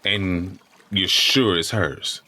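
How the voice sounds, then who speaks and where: A man's voice: low voice, drawn-out words, bright, forceful tone, heavily acted. An actor playing a wounded, grieving father in an audio drama, emotional and confrontational. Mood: doubtful. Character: wounded, grieving father, usually mild, turning accusatory.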